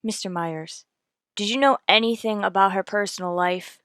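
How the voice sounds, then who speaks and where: A woman's voice: mid-range voice, normal speed, a bit bright, smooth, no room sound, studio quality, close to an everyday voice. A woman playing a terse, businesslike police detective in a radio crime drama, clipped and matter-of-fact. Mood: questioning. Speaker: narrator and lead actor. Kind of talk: old-time radio crime drama acting.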